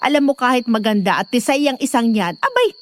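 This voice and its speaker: A woman's voice: fairly high pitch, sing-song, quick pace. A woman playing a nosy, chatty middle-aged nanny who teases and dotes, in an audio drama. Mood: teasing, gossipy. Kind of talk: Filipino audio horror drama acting.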